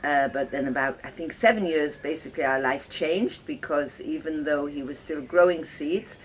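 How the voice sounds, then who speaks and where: An older woman from Wales telling her life story casually, reminiscing in a halting, conversational way. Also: deep voice. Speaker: host of guest lodging, farmer's wife. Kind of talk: casual personal storytelling to guests. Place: informal talk to visitors, filmed by a guest. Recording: noisy ambient home video.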